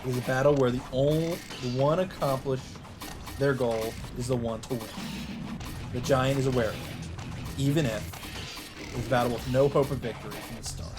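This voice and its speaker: A man doing a let's play, reading a visual novel aloud as dramatic narration in halting phrases, with some off-the-cuff reactions. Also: medium pitch. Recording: music behind the voice. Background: game soundtrack music.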